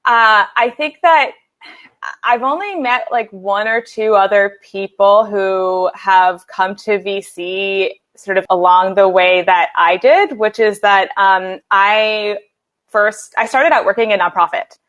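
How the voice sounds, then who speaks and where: A woman's voice: mid-range voice, takes it slowly, fairly bright tone, muffled recording. Thirties American panelist, woman, thoughtful, full of ums, laptop mic on a video call. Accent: American, Philadelphia. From United States. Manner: reflective, many fillers and restarts. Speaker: panelist, venture investor. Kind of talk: casual panel discussion.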